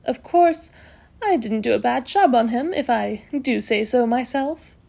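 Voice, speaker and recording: A woman voicing a brisk, bossy, self-assured hospital matron in a storybook, scolding cheerfully in emphatic exclamations. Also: a little high, animated, vocal fry, mellow, full character voice. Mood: brisk, satisfied.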